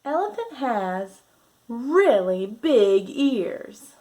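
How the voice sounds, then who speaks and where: Lady doing a kids read-aloud, really slow and gentle, kinda high voice, like a mindfulness teacher. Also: sing-song. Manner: deliberate, gently instructive delivery for young children. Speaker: children's yoga and mindfulness teacher reading a picture book. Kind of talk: children's read-aloud with guided breathing. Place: at home.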